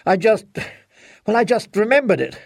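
A man voicing a helpless, defensive middle-aged father who is a writer, speaking haltingly in an audiobook. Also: sits high, very animated, vocal fry, dry recording, studio quality, full character voice. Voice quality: hesitant, halting. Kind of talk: audiobook character acting.

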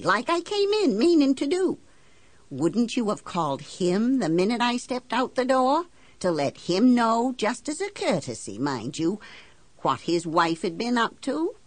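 A woman's voice: low voice, no echo. A woman playing a blunt, embittered working-class island housewife who tells her story in folksy, ungrammatical country talk for an audiobook. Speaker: audiobook narrator. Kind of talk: first-person storytelling in character.

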